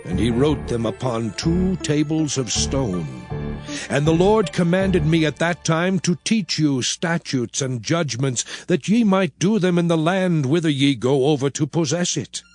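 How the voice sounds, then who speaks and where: Commanding biblical lawgiver, higher-pitched male voice, sweeping intonation, solemn oratory, dramatized scripture. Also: expressive, a little mellow. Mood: grave, commanding. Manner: solemn, oratorical, measured, emphatic. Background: music.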